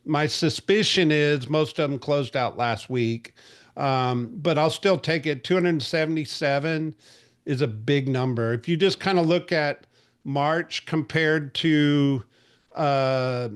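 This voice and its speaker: A man's voice: fairly high pitch, slow pace, smooth. A man in his forties hosting a real estate industry call, talking casually with lots of fillers and restarts. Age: 40s. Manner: chatty, rambling, frequent fillers and restarts. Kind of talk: casual market update briefing.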